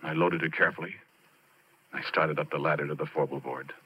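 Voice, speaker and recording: An actor playing a haunted, plain-spoken oil rig roughneck, telling his story haltingly and colloquially in 1940s radio drama style. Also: extremely low pitch, steady tone, slight background noise. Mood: hushed dread. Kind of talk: 1940s radio drama acting, first-person narration. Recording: muffled vintage 1940s radio recording, organ-era music.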